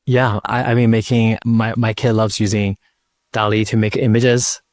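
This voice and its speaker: A man hosting a casual interview, speaking informally and haltingly, often repeating words as he goes. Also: lowish voice, flat delivery, no room sound, studio quality. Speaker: podcast host interviewing a guest.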